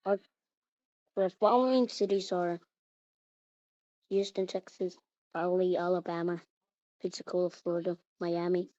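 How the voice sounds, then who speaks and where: A woman reading out an improvised mock emergency alert as the announcer, in a halting way with repeated phrases. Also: lowish voice, steady tone, dark tone, no room sound. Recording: muffled lo-fi recording.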